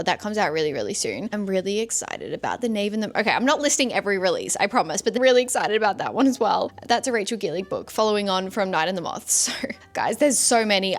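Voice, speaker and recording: A woman talking casually and effusively to her viewers as a vlogger, with emphatic, gushing delivery. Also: medium pitch. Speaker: book vlogger and podcaster. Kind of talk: casual chatty book review to camera.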